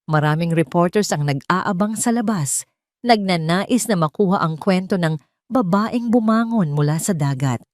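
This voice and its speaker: A woman narrates a scripted first-person drama in a slightly low voice. Her delivery is solemn and sentimental, in the manner of a storyteller. The sound is close and dry, with no room echo. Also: expressive, moderate pace, a little mellow.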